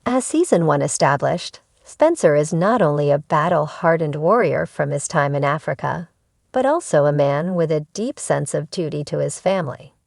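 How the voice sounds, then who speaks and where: A woman's voice: low voice, gravelly, darkish tone, no room sound, studio quality. A woman narrating a scripted entertainment news video.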